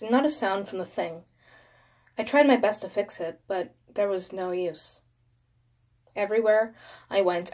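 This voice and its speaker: Woman reading creepypasta, dramatic narrator style, normal mid pitch. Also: darkish tone. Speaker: horror story narrator.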